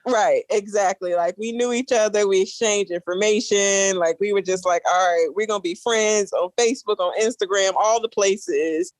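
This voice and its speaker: A woman's voice: mid-range voice, normal speed, few pauses, no echo. A woman hosting a podcast interview, recalling things in a chatty way with fillers. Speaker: podcast host. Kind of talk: casual interview chat.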